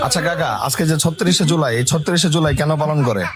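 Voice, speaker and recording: High-pitched male interviewer. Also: fast, heavy background noise. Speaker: street interviewer. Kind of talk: joking quiz questions.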